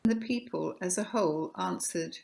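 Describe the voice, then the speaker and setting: Casting: female narrator for a scripture reading, fairly low register with vocal fry. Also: normal speed, dark tone. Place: recorded at home.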